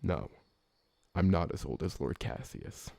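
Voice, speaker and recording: A man playing a gentle, awkward, polite old vampire servant who talks hesitantly and deferentially in an ASMR roleplay. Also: low voice, animated, unhurried, creaky, no room sound, exaggerated voice. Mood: gentle, tentative. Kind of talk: ASMR roleplay audio drama.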